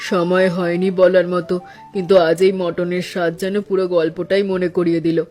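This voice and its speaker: A woman's voice: lowish voice, level tone, darkish tone, faint music behind, lightly acted. A woman playing a wise, sentimental elderly mother-in-law in a cartoon story, speaking in a reflective way. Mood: wise, nostalgic. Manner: slow, reflective, proverb-giving.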